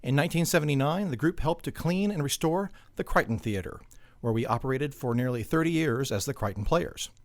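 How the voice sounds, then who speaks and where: A man's voice: mid-range voice, fairly quick, dry recording. A man reading scripted promotional narration as an announcer for a community theater.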